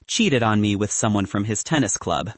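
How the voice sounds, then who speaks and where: A man's voice: on the low side, lively intonation, normal speed, dry recording, pitched below the performer's own voice. A man voicing a young woman physicist in an audiobook, confident and frank, with a dry, advice-giving manner. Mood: wry, candid.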